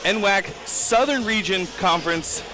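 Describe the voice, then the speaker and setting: A man's voice: high voice, unhurried, over music, crowd noise, low-quality recording. A man giving play-by-play commentary for a college volleyball match, joking and stumbling over his words as he corrects himself. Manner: stumbling, self-correcting banter.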